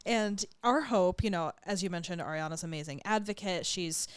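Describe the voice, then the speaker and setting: A woman's voice: lowish voice, normal speed, smooth, dry recording. A woman hosting a podcast interview, giving her guest frequent short affirmations. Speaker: podcast host. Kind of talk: casual interview talk.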